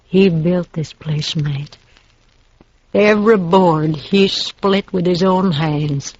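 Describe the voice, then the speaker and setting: A woman's voice: on the low side, slow pace, a little mellow, dry recording. A woman with a rural American accent playing a stubborn, feisty frontier widow in a 1950s Western radio drama, on a muffled vintage recording. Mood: proud, wistful.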